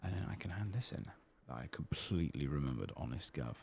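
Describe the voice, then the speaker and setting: A man giving soft-spoken ASMR commentary while playing a game, murmuring to himself on a close microphone. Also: rumbling low, sing-song, brisk, creaky, slight background noise. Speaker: gameplay streamer.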